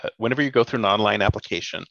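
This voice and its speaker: A man with a gravelly, creaky voice chats casually and sarcastically, laughing now and then. The recording is dry and close, with a dull lo-fi sound. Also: lowish voice, very fast, fairly bright tone, no echo. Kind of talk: casual chat.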